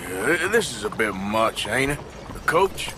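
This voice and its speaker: An actor playing a gruff, modest, sardonic American outlaw in a video game, talking in a dry, folksy mumble. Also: male voice, on the low side, big pitch swings, a little slow, noisy background. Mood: skeptical, dry. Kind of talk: video game cutscene acting.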